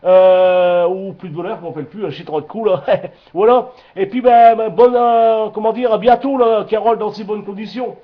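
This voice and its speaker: A high-pitched man talks casually over a dull two-way radio link. He rambles along as an amateur radio operator, circling back on his words and padding them with little tags. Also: fairly even intonation, normal speed, hardly any pauses. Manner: rambling, repeats himself, frequent filler tags. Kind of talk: casual radio contact chat.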